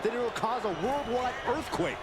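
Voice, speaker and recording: A man's voice: very high voice, lively intonation, fairly quick. A man performing an earnest, emphatic colour commentator in a wrestling video game. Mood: intense. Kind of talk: sports commentary. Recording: loud crowd and arena noise.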